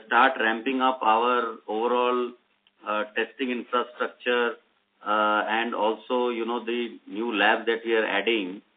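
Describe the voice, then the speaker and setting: A man with an Indian accent giving halting, measured, explanatory executive answers on a conference call. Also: mid-range voice. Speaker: company executive answering analysts' questions. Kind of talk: unscripted earnings call answers. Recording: narrowband phone-line audio.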